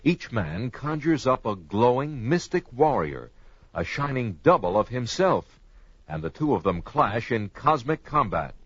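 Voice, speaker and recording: Casting: dramatic adventure narrator, portentous and emphatic, lively swings in pitch, dull recording over music. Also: male voice, a little slow. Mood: suspenseful. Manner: grandiose, emphatic. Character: grandiose male storyteller narrating barbarian adventure.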